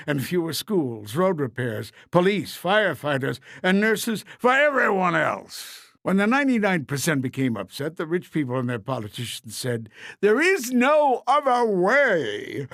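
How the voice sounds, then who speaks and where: A man's voice: fairly high pitch, creaky, fairly bright tone, slightly rough, no echo, clean studio sound. A man narrating a scripted fairy tale in a simple, emphatic storybook style.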